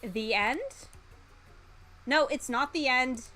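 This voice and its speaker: High-voiced woman streaming a game. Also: slow, faint music behind. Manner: animated, playful, exclamatory. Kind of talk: live game commentary and dramatic read-aloud.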